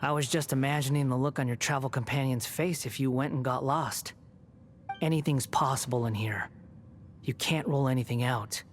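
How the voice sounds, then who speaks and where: A man's voice: medium pitch, normal speed, faint music behind, natural voice. An actor playing a smug, sardonic young man in a video game, speaking in a cool, snide and condescending manner. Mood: taunting. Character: smug, sardonic young male prisoner on temporary release.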